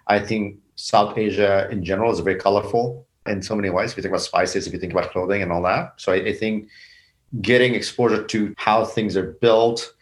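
A man's voice: lowish voice, steady tone, fairly quick. A man from Bangladesh, a company founder, answering interview questions in a reflective way and explaining his ideas step by step. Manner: reflective, explains in steps, repeats words. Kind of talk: interview conversation.

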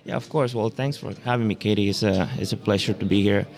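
Young man from Honduras, lowish voice. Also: fairly even intonation, quick pace, gravelly, darkish tone. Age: young adult. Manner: earnest, self-introducing, with frequent fillers. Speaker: PhD student, podcast guest. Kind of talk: casual interview.

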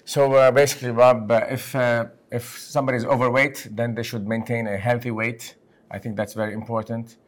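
A man from Lebanon hosting a conversational medical podcast as a physician, speaking in halting, emphatic bursts. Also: mid-range voice, flat delivery. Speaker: podcast host, physician and section head.

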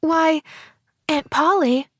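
An eager young girl from a storybook, voiced by a woman reader. The voice sits high and chatters earnestly, with a breathless, gushing, kind-hearted manner. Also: expressive, moderate pace, dry recording. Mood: dismayed. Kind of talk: audiobook character voice.

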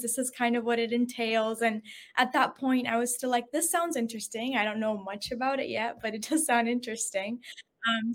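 A young woman in her twenties, originally from Syria, chatting as a podcast guest and retelling past conversations in a lively way. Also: a little high. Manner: chatty, acts out past conversations with light laughs. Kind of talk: casual interview storytelling.

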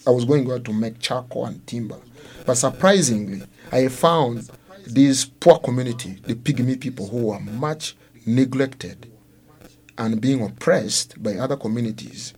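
A man with a Congolese accent and a husky voice at a middling pitch. A native Luo speaker, he tells his own story as an interview guest, building it in halting, careful phrases. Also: a little mellow. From Democratic Republic of Congo. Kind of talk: personal testimony in an interview.

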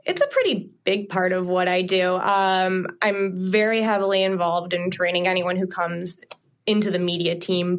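A woman who leads a media team, speaking as a guest on a casual workplace podcast in a thoughtful, measured way. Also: lowish voice. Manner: thoughtful, measured, uses fillers.

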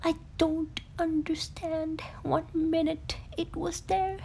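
A woman voicing a gentle, puzzled toy bear in a children's storybook, pondering as it speaks. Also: very high-pitched, flat delivery, slow pace, creaky, a little hiss or hum, pitched above the performer's own voice, full character voice. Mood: confused.

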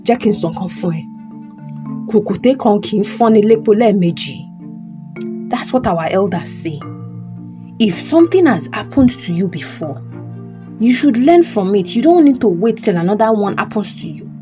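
A woman's voice: mid-range voice, big pitch swings, moderate pace, over music, lightly acted. A woman playing a stern but caring older father in an audio drama, emphatic and admonishing, with a Nigerian accent.

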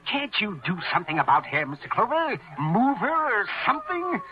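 Fussy, high-voiced indignant florist. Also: male voice, wildly swooping pitch, normal speed. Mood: fussy, outraged. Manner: haughty, whining, precious, exclamatory. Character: fussy, indignant male florist shop owner. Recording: muffled old 1950 radio recording.

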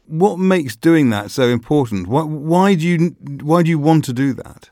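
A man interviews guests in an art gallery, asking questions in a halting way and often repeating words. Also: fairly high pitch, a little mellow. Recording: roomy gallery echo.

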